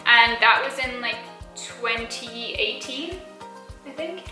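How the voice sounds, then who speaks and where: A woman's voice: a little high, lively intonation, takes it slowly, bright, forceful tone, reverberant, soft background music. A young woman in her early twenties from South Africa with a mild Australian accent, chatting casually as she answers viewer questions, full of fillers and little giggles. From South Africa, raised partly in Australia. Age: early 20s. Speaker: beauty and lifestyle YouTuber.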